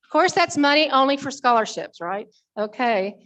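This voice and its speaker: A woman's voice: high voice, expressive, moderate pace, fairly bright tone. A woman presenting at a members meeting in an informal, conversational way, with folksy asides to the audience. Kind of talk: informal spoken committee report to an audience.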